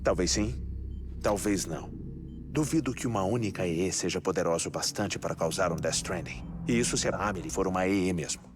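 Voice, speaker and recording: A man with a Brazilian accent playing an earnest scientist in a dubbed game cutscene, explaining in a deliberate, lecturing, emphatic way. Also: deep voice, background noise, natural voice. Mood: cautious, reasoning. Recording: loud music behind voice. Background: cinematic game music.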